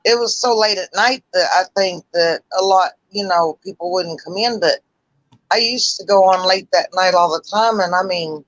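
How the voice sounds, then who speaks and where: Loud-voiced chatty woman on a call. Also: fairly low pitch, moderate pace, dry recording, lo-fi sound. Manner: chatty, rambling, talks loudly. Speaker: livestream guest. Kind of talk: casual livestream chat.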